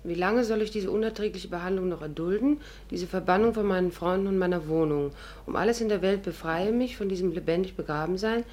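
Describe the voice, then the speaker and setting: An actress playing a witty, self-assured stage performer with a teasing, poised manner in a 1950s German radio play. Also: female voice, fairly low pitch, darkish tone, lightly acted. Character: witty, theatrical, self-assured actress turned amateur sleuth.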